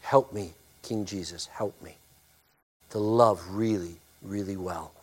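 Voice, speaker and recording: A male pastor preaching a sermon and praying, with a loud, forceful voice, emphatic when preaching and hushed in prayer. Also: deep voice, takes it slowly, husky.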